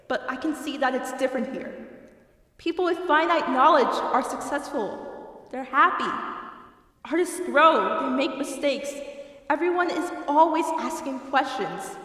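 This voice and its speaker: A woman's voice: sits high, a bit bright. A woman acting an immortal female demon in an amateur audio drama, speaking in a dramatic, declamatory way. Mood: impassioned, indignant. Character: immortal female demon of knowledge, theatrical, exasperated, wistful. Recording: echoey reverberant hall, distant from mic.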